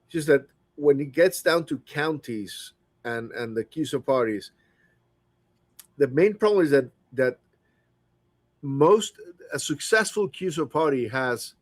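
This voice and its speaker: A man, an amateur radio operator, explaining contest software in a casual chat and often stumbling over his first words. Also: fairly high pitch, animated, a little slow, long pauses, dry recording.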